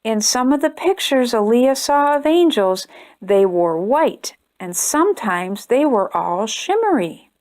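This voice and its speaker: A woman hosting a storytime channel, reading a children's picture book aloud in a gentle, expressive way. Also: fairly high pitch, a little slow. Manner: gentle, expressive reading, brighter and chattier when addressing viewers. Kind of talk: read-aloud storytelling for kids.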